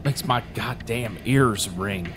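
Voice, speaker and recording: An actor playing a cruel, mocking outlaw brother who makes threats in folksy rural slang. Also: male voice, mid-range voice, takes it slowly, over music. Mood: sneering. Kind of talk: indie audio drama acting.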